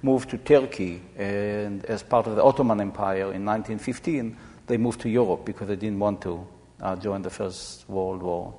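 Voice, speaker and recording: A man in his seventies from Israel, explaining informally to an audience in a halting, reflective way. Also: lowish voice, dark tone. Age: 70s. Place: large echoey room or hall. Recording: reverberant hall sound.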